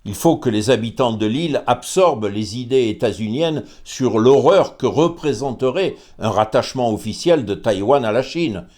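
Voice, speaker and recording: A man hosting a commentary series, reading a scripted text in a measured way with frequent hesitations and a pointed, argumentative tone. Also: mid-range voice, animated, a little mellow. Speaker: host presenting a commentary series. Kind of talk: scripted commentary read aloud.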